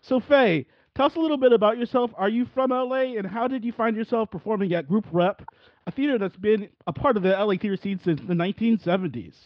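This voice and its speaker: A man's voice: high-pitched, quick pace, dry recording. A man hosting an interview podcast, asking rambling questions that he restarts often. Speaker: podcast host. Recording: dry, dull lo-fi audio.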